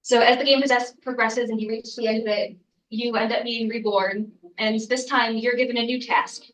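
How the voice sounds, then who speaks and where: A woman's voice: fairly high pitch, steady tone, moderate pace, roomy sound, far from the mic, poor audio. A young adult woman, congested from a cold, giving an earnest student research presentation with frequent ums and uhs. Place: lecture room.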